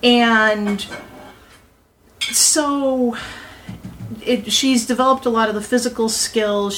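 A woman talking casually in a round-table discussion, halting as she thinks her way through explanations. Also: mid-range voice, fairly bright tone. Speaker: voice actor and writer. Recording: noisy group room recording.

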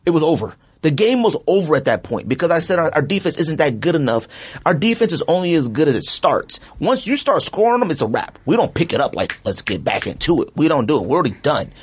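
Husky, animated man ranting. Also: fairly high pitch, quick pace. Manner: animated, rambling, slangy rant. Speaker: podcast co-host. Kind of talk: casual sports chat.

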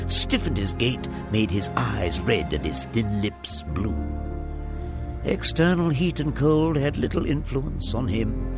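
An actor as the narrator of a radio drama, a man telling the story in a deliberate, wry way. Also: mid-range voice. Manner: deliberate, theatrical.

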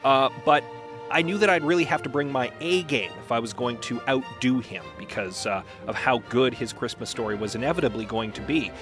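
A man hosting a podcast, narrating in a wry, deliberate storytelling way. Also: mid-range voice, music in the background. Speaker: podcast host.

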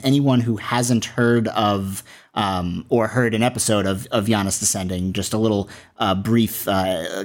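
A man hosting a podcast, presenting and interviewing, somewhat halting when he speaks off the cuff. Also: medium pitch, darkish tone. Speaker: podcast host.

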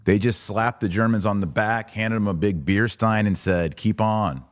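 A man's voice: on the low side, normal speed, dry recording, studio quality. A man hosting a live podcast, reading listener comments and giving informal, opinionated, emphatic commentary. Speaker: podcast host.

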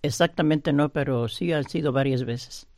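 Soft-spoken older woman. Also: low-pitched, dry recording, studio quality. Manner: slow, soft-spoken, a little nervous. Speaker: guest.

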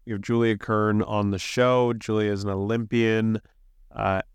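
Smooth-voiced sniffly male podcast host. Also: lowish voice, flat delivery, unhurried, no echo. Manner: chatty and enthusiastic, with fillers. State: sick, sniffling. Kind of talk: casual podcast intro and interview. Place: office.

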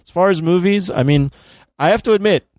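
A man co-hosting a casual chat, speaking in a measured, somewhat hesitant way. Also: sits high, darkish tone, no room sound. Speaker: podcast co-host.

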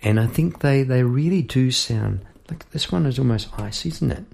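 A man's voice: medium pitch, lively intonation, moderate pace, vocal fry. A middle-aged man hosting a songwriting podcast, musing in a rambling, casual way with many restarts. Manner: rambling and warm-hearted, with frequent restarts and musing asides. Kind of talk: casual reflective podcast talk about songwriting. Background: guitar being played.

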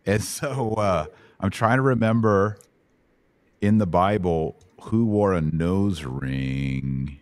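Middle-aged American male host, low-pitched and folksy, casual Bible teaching, very dry sound. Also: slow, no room sound.

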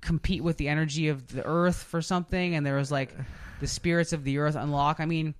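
A man's voice: a little high, fairly even intonation, brisk, clear, resonant tone. A man hosting a podcast, chatting casually in a rambling, enthusiastic, geeky explaining way. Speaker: podcast host. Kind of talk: casual chat about video game movies.

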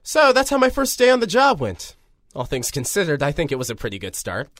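A man's voice: a little high, huge pitch swings, brisk, fairly bright tone, a little hiss or hum. A man playing a young reporter who narrates an audio drama in a wry, conversational way. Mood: upbeat.

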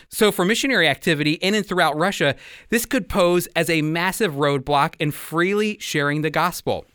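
A man's voice: on the high side, fairly bright tone, clean tone, dry recording, studio quality. A man hosting a religious radio program, speaking in an earnest, exhortative, conversational commentary style.

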